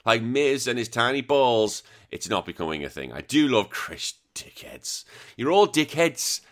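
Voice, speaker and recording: A man hosting a retro gaming podcast in casual chat, a bit croaky, blunt and joking, swearing freely. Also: medium pitch, animated, unhurried. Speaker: podcast host.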